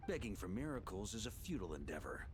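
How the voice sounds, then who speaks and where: A resolute knight, lively voice. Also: male voice, low-pitched, fast talker, noisy background. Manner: confident, expressive, rising to loud calls. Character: resolute male knight, brave and earnest. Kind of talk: video game voice acting.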